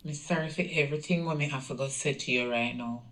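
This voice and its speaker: A man's voice: mid-range voice, fast, fairly bright tone, natural voice, poor audio. A man with a Jamaican accent playing a brazen middle-aged mother in a domestic drama, insistent and repeating the same phrases. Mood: tender, regretful. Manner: repetitive, insistent.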